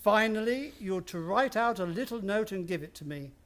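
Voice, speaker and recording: An actor playing a miserly, menacing older stepfather in a suspense radio play, speaking with deliberate mockery. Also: high voice, animated, fairly bright tone. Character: sinister, miserly, taunting wealthy stepfather, older man.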